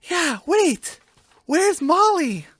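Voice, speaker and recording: A woman voicing an excitable, easily shocked chicken in a radio comedy sketch, exclaiming melodramatically. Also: very high voice, extremely slow, dry recording, voice pushed up, exaggerated voice. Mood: eager.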